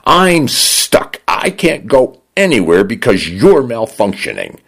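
A man voicing an indignant woman who shouts at her own home after being locked out. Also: medium pitch, huge pitch swings, takes it slowly, creaky, slightly rough, pitched above the performer's own voice, full character voice. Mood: furious.

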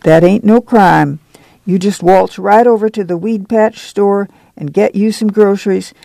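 Lady reader doing a stern bossy country chairwoman, folksy scolding, gravelly edge, dry close sound. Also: medium pitch, measured pace, warm tone, no echo, voice pushed up. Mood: firm, scolding. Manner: commanding, folksy rural dialect.

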